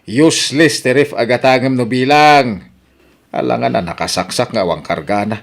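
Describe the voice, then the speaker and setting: A man's voice: medium pitch, moderate pace, gravelly, close to an everyday voice. A man with an Ilocano accent playing a skeptical, grumbling husband in a comedy radio drama, full of doubting questions.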